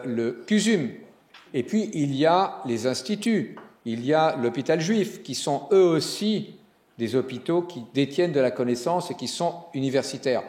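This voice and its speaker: A man giving a conference keynote as a hospital executive, animated and joking, with some fillers and restarts. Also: fairly high pitch. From Italian descent. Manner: animated speaker with jokes and fillers. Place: conference hall. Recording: roomy hall sound.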